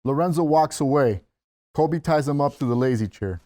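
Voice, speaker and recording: A man's voice: on the high side, expressive, moderate pace, mellow, dry recording, clean studio sound. A man reading stage directions as narrator at a script table read, in a scripted narration style.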